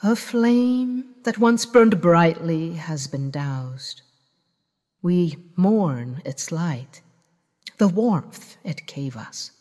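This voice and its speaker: A woman playing a solemn healer who leads a memorial service in an audio drama, reciting slowly and reverently with long reverb. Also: medium pitch, lively intonation, darkish tone.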